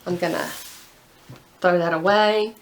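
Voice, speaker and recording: A woman's voice: on the low side, fairly even intonation, unhurried, slight background noise, poor audio. A young woman chatting casually while painting her nails, rambling and full of "like". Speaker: beauty vlogger.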